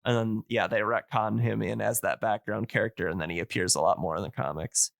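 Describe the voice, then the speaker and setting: A man's voice: fairly low pitch, fairly even intonation, fairly quick, gravelly, no room sound, clean studio sound. A man hosting a casual podcast, explaining things in a rambling, nerdy, enthusiast way. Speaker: podcast host.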